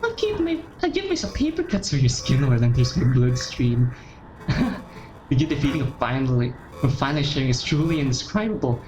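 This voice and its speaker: A woman's voice: very deep voice, sing-song, brisk, a little mellow. A woman voicing an obsessive, unstable lovesick teenage girl from a horror game in a dramatic reading, swinging between sweet and menacing. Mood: creepy adoration. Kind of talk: dramatic fan-fiction reading. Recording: roomy echo with faint music behind.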